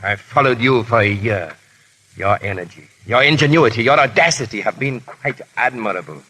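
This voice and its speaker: An actor playing a stern, sardonic French Revolutionary agent in a formal, commanding manner in a 1930s radio drama. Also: male voice, medium pitch, lively intonation, moderate pace, bright, forceful tone, breathy edge. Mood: coolly triumphant. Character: stern, menacing male French Revolutionary agent, coolly triumphant. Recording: muffled-edged vintage 1930s radio broadcast.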